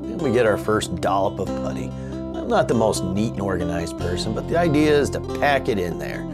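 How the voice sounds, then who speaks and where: A man's voice: on the low side, big pitch swings, a little fast, over loud music. A man hosting a how-to video, narrating casually and musing aloud with rhetorical questions. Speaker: host of a radio restoration how-to video. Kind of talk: casual explanatory DIY narration.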